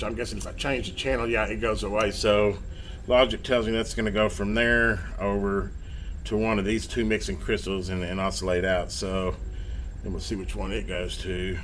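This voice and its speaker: A middle-aged man with a fairly low voice talks casually at a repair bench. He rambles in a folksy, self-deprecating way. There is noticeable noise in the recording. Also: steady tone, moderate pace, fairly bright tone. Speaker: electronics hobbyist and repair video host. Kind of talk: casual workbench chat while repairing. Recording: clear background noise.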